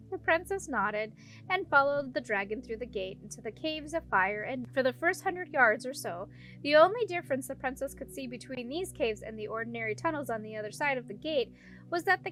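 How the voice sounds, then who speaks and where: A woman with a high-pitched voice narrates a children's fantasy audiobook. She reads from a script in storybook style, with faint music underneath. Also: a bit bright, poor audio. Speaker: audiobook reader.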